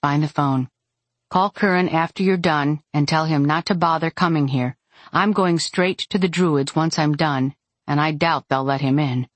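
A woman narrator plays a fierce, short-tempered woman fighter from an audiobook. Her low voice has a husky grain, and she speaks in terse, urgent commands with little patience. The sound is close and dry. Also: steady tone, moderate pace, no room sound. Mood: urgent, commanding. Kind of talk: first-person audiobook character acting.

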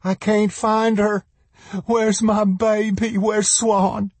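A man's voice: on the high side, animated, moderate pace, warm tone, breathy edge, no echo, voice pushed up. A man voicing a desperate, folksy mother who clings to hope, in an audiobook. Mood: panicked, shouting.

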